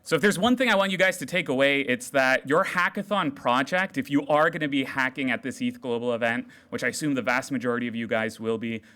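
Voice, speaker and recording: A man giving an energetic, jokey live tech talk to a hackathon crowd on a stage microphone. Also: mid-range voice, fast talker, hardly any pauses. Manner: loud, animated, jokey with the crowd. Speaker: developer relations speaker. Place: large room at a hackathon event.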